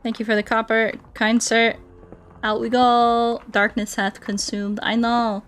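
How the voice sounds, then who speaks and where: A woman doing a casual gaming livestream, rambling playfully and thinking out loud as she chats with viewers. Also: fairly high pitch, little pitch movement, measured pace. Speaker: streamer playing Minecraft and chatting with viewers.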